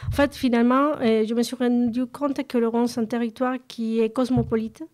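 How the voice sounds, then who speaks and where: Higher-voiced woman in spontaneous interview talk. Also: flat delivery, brisk, darkish tone. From a very large city. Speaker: interviewed member of a women's association.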